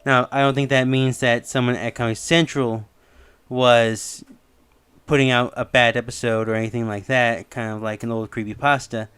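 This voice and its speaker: A man's voice: medium pitch, fairly bright tone, smooth, dry recording. A man giving casual, rambling explanatory commentary as the host of a theory video. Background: music under the voice.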